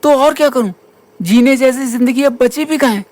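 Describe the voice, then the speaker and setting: A man's voice: very high voice, normal speed, a little mellow, dry recording. An actor playing a timid, troubled young male college student in an audio drama, earnest and pleading. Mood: despairing. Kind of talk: Hindi audio drama acting.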